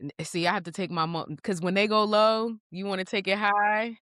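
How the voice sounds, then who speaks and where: Sassy, animated woman in dry podcast sound. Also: medium pitch, brisk, no room sound. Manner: animated, sassy, emphatic rhetorical asides. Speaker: podcast guest, project manager. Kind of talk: casual podcast chat.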